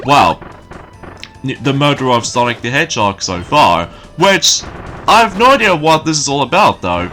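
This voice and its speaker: A man giving rambling, casual let's play commentary, his voice slightly croaky from waking early. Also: mid-range voice, pushed and bright. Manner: rambling, repeats filler phrases.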